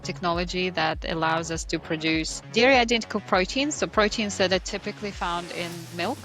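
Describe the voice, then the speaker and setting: A woman's voice: on the low side, a little fast, fairly bright tone, faint music behind. A woman, telling her story conversationally as a founder being interviewed. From Russia, born in Moscow. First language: Russian. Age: 40s.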